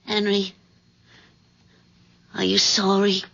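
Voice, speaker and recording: An actress playing an anxious, self-pitying mistress with a plaintive, complaining manner in a 1970s radio drama. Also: female voice, medium pitch, monotone, slow pace, gravelly, fairly bright tone, heavily acted. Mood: strained. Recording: dull vintage radio broadcast.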